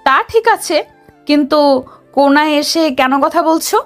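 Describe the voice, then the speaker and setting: Actress as watchful young woman on a security team, high voice, audio story. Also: animated, unhurried, faint music behind. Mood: suspicious, questioning.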